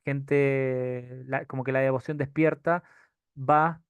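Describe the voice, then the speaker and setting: Man, non-Chilean Spanish accent, lecturer, medium pitch, clear resonant voice, hesitant informal delivery, dry recording. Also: fairly even intonation, takes it slowly, dry recording. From outside Chile. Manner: hesitant, searching for words. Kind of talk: informal history lecture, answering audience questions.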